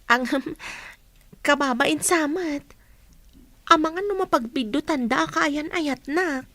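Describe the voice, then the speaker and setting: A caring, modest teenage elder sister in a radio play. Her voice is high, with an Ilocano accent. She comes across as a dutiful schoolgirl. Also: takes it slowly, sharp tone, no echo, full character voice. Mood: shy. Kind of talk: radio drama acting.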